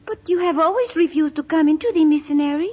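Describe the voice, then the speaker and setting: An actress playing an anxious, dutiful mission helper, hesitant and earnest in 1950s radio drama style. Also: female voice, high voice, quick pace, warm tone, natural voice. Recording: muffled old radio recording.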